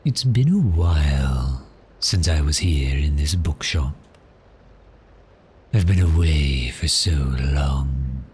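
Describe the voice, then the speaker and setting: An extremely deep-voiced male vampire, acted by a man toys politely with his prey in an ASMR roleplay. He speaks very slowly and deliberately, with courteous menace. Faint ambient noise sits behind the voice. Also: expressive, darkish tone. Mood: calm, quietly gloating. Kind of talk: slow ASMR roleplay acting.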